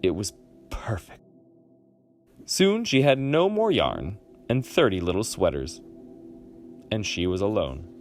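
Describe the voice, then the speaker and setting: A man reading a children's picture book aloud expressively, with dramatic pauses. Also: fairly low pitch, wildly swooping pitch, faint music behind. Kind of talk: expressive storybook read-aloud.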